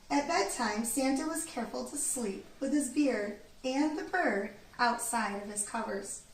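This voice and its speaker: A woman reading a children's storybook aloud in an expressive, deliberate storytelling manner. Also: a little high. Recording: echoey room, distant mic, background noise.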